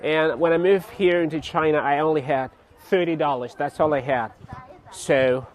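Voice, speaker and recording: A young man from Cameroon chatting casually to his vlog viewers outdoors on a city street, laughing often and pausing with fillers. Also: a little high, steady tone. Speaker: vlogger. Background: faint street traffic.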